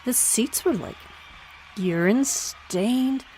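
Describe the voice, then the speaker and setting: A woman playing a giddy, gushing young groupie in a comic audio drama, talking in teenage slang with dramatic pauses. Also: fairly high pitch, lively intonation, warm tone, a little hiss or hum, poor audio. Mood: gossipy. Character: giddy gushing young groupie girl confessing concert story.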